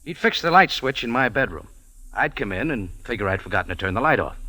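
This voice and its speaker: A man's voice: mid-range voice, animated, fast, bright, forceful tone, no room sound, low-quality recording. A man playing a tough, wry cafe owner and amateur sleuth in an old radio drama, telling it in a clipped, dry, hard-boiled way. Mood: cool, explaining. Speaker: lead actor and first-person narrator in a radio drama. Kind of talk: 1940s-50s hard-boiled radio detective acting. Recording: old radio recording with music behind.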